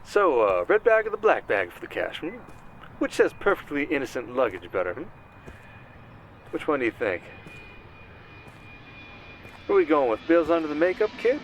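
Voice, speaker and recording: Man acting a slick, higher-voiced charming conman. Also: wildly swooping pitch, moderate pace, long pauses, a little hiss or hum, rough recording. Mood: breezy, cocky. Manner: slick, suave, old-fashioned wiseguy endearments. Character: smooth, cocky male Vegas conman, charming and flirtatious. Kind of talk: ASMR roleplay acting, intimate monologue.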